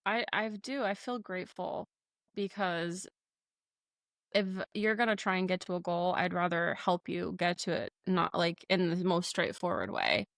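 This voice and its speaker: A woman's voice: fairly low pitch, animated, unhurried, vocal fry, a bit bright, dry recording, studio quality. A young woman, a flight nurse, talking candidly as a podcast guest, laughing often and using many fillers. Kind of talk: casual interview talk.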